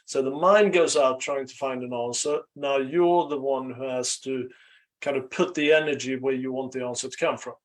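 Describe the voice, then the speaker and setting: A middle-aged man from Sweden speaks reflectively as an interview guest, pausing often and checking in with "right?". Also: mid-range voice, steady tone. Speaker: podcast guest, life coach.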